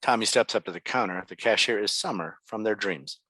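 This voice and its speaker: A man's voice: fairly low pitch, fairly quick, pushed and bright. A man reading stage directions as scripted narration at a table read.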